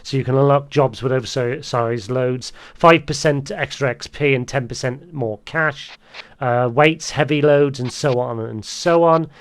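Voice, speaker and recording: A man's voice: medium pitch, measured pace. A man hosting a casual gameplay tutorial, explaining things in a rambling way. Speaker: gaming video host.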